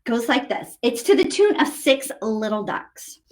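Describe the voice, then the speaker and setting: A woman's voice: on the high side, animated, a little slow. A woman hosting a live story time for children, reading and singing in a playful, exaggerated sing-song way and talking straight to the kids. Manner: addresses young children directly with questions. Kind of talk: live read-aloud and sing-along for kids.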